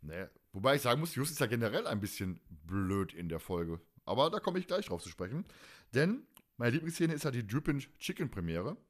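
A man's voice: mid-range voice, very animated, a little fast. A man hosts a fan podcast in a casual, chatty way. His speech is colloquial and emphatic, and he tends to ramble as he goes. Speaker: podcast host.

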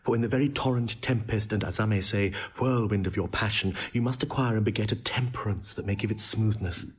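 An actor playing an eloquent, exacting young prince in a classical Shakespearean audio drama, husky and articulately didactic. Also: male voice, on the low side, a little fast. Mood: exacting, earnest.

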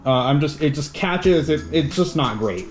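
A man's voice: fairly high pitch, expressive, moderate pace. Chatty man unboxing gym gear. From Canada. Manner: casual, chatty, with small restarts while handling gear. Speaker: fitness vlogger. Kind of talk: casual product unboxing. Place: home gym.

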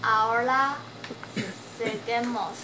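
A Taiwanese woman in her twenties, a native Chinese speaker and language student, answering questions slowly and carefully in a home video from a small bedroom. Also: a little high, fairly even intonation, echoey room, noisy background. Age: 20s. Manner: slow, careful learner's speech with halting restarts. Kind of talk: self-recorded homework video interview.

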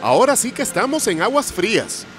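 An actor voicing an excitable, helpful young dog sidekick in a children's cartoon. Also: mid-range voice, a little fast, natural voice. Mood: excited. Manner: exclamatory, enthusiastic. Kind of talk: animated children's cartoon dubbing. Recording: loud music behind the voice.

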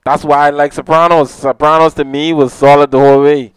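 A young man co-hosts a relaxed podcast from a living-room couch, talking into a handheld mic. His voice is smooth and clear, and the sound is dry and close. He rambles in an easygoing way and often laughs at himself. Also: fairly high pitch, a little fast, dry recording, rough recording. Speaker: podcast co-host. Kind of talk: casual chat. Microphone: handheld mic with headphones.

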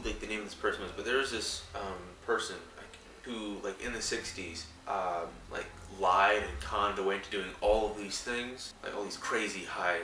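Young man, slightly raspy cold voice, bright edge, rambling filler-heavy vlog talk, faint electrical buzz. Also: lowish voice. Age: young adult. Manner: rambling, many fillers and restarts. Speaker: vlogger. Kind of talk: casual talk to camera. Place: small home room at night. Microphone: camera a few feet away. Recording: roomy, distant mic, faint buzzing hum.

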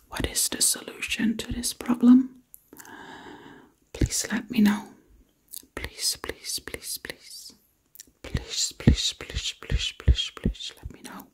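A woman doing whispered ASMR roleplay in a breathy whisper, repeating words over and over. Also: a little high, a little mellow. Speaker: ASMR video creator.

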